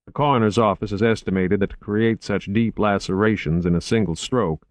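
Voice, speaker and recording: A man reading a calm, refined agent. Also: fairly low pitch, dry recording, close to an everyday voice. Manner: calm, measured, unhurried.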